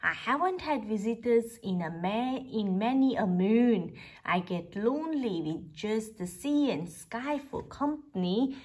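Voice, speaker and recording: A woman voicing a lonely, talkative old male rabbit lighthouse keeper in a storybook, with drawn-out exclamations. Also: fairly high pitch, animated, darkish tone, breathy edge. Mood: eager, lonely.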